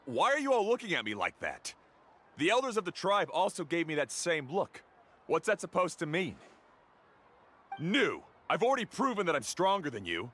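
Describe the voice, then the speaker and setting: A boastful, bright-voiced young rival. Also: male voice, a little high, huge pitch swings, normal speed, exaggerated voice. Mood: indignant. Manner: boastful and mocking. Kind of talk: game voice acting.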